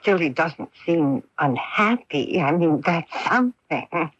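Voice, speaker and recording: Radio play middle-aged mother: anxious, coddling, defensive, lively pitch, dull close dry lo-fi sound. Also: slow pace, no echo, exaggerated voice. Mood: frightened. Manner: fretful, coddling. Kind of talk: radio drama acting.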